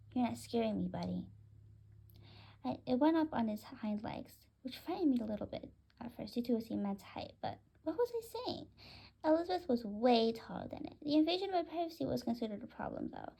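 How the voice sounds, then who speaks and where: A woman's voice: fairly high pitch, gravelly, mellow, breathy edge, heavily acted. A woman voicing a boastful, greedy pet bird in a children's story read aloud. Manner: bragging, indignant first-person storytelling.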